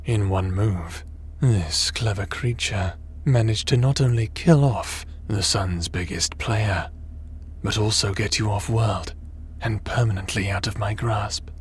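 A man playing a cold, scheming crime boss in a sci-fi audio drama, speaking in a menacing, measured, smug and theatrical way. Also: on the low side, slight background noise. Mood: smug, gloating.

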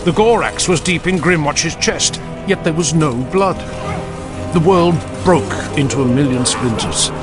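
A man narrating a dramatic fantasy audiobook in a grave, deliberate manner. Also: fairly high pitch, animated, over music.

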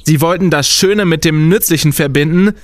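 A pompous young team captain, played by a man, who blusters and throws accusations around. His voice sits a little high. The character comes from a comic radio play. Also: normal speed, no room sound, close to an everyday voice. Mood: blustering.

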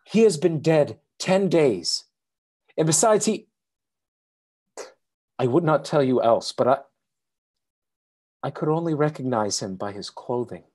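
A man acting an elderly husband in a radio play, gentle, protective and fearful, with a husky voice. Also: fairly high pitch, expressive, unhurried, pauses often. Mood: fearful, reluctant. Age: older.

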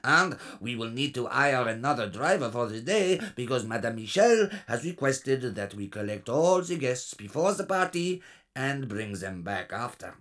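An actor playing a busy working man in a children's audio story, hurried and matter-of-fact. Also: mid-range voice, very animated, fairly bright tone. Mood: busy, preoccupied. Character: busy working men, a dad and driver.

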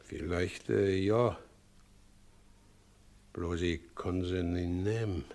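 An actor playing a good-natured, plain-spoken widowed carter of middle age in a 1960s radio play, brooding and hesitant, with rustic phrasing. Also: male voice, low voice, steady tone, slow pace, darkish tone.

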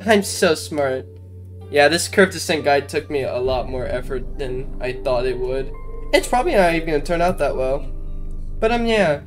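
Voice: medium pitch, lively intonation, moderate pace. A casual game commentator talking through a walkthrough in an informal way, with self-mocking asides. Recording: music behind the voice. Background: game music.

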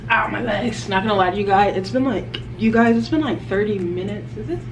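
A young woman vlogging from her home kitchen, chatting playfully to viewers as she makes a smoothie. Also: medium pitch, brisk, music in the background. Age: young adult. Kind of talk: casual vlog chat to camera. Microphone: camera on the counter. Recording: echoey kitchen with household noise.

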